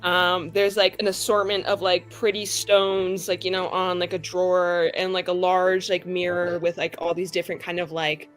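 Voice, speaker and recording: A woman casually improvising scene description as a tabletop player, halting often and leaning on filler words. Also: fairly low pitch, little pitch movement, soft background music.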